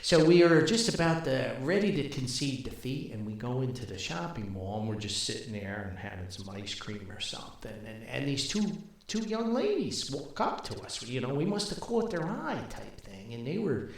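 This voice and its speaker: The recording is heavily echoey and reverberant. An older man with a medium-pitched, animated voice tells anecdotes like a podcast host. He rambles along in a casual, folksy way, with big swings in intonation. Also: moderate pace, gravelly. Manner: rambling, folksy, chuckles at own stories. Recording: very echoey, reverberant room sound.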